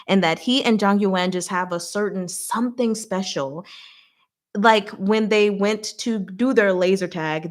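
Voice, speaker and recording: Chatty, informal woman recapping a story. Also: fairly low pitch. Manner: animated, informal, giggly storytelling with acted-out asides. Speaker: host of a livestream book discussion. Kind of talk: casual chatty live story recap with commentary.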